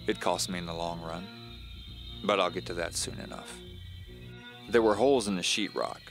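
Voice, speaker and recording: A weary homeowner with a low voice, telling his haunted story in the first person. He is measured, confiding and a little wry, as in a mystery audio drama, with music underneath. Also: fairly bright tone. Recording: voice over music bed. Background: background music.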